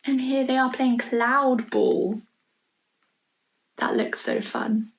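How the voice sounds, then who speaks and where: A woman with a fairly high voice reads a children's story at a leisurely pace. She is expressive and playful, stepping out of the text to chat about the pictures and put questions to the listener. Some background noise sits behind her home recording. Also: takes it slowly, gravelly, low-quality recording. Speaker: storybook reader for young children. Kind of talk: read-aloud storytime with chatty asides about the pictures.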